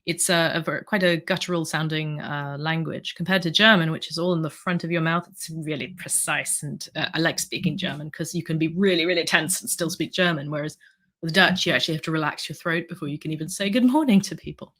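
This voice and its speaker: A low-pitched woman from the UK with a slightly sore throat, hosting a relaxed livestream. She rambles warmly through viewer comments, full of ums and asides. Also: a little fast. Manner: chatty, rambling with fillers. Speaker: livestream host. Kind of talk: casual livestream chat reading comments.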